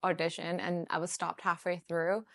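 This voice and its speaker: A woman's voice: on the low side, level tone, normal speed, gravelly, a bit bright, dry recording, studio quality. A young woman actor with a Canadian accent, candidly recounting an audition with quoted dialogue. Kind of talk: personal testimony.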